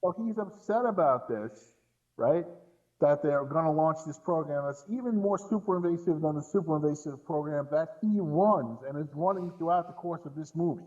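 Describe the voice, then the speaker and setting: A man with a slightly high voice chats about movies in a casual podcast style. He sounds incredulous and emphatic, circling back on words to drive points home. The recording is a little dull and lo-fi. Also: moderate pace, a little mellow. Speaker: podcast guest and film commentator. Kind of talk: casual film discussion.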